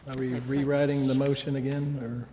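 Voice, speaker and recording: A man, a council member, speaking unscripted at a public meeting in a halting, measured way. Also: medium pitch, little pitch movement, a crowd in the background. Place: large indoor council chamber. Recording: echoey council chamber.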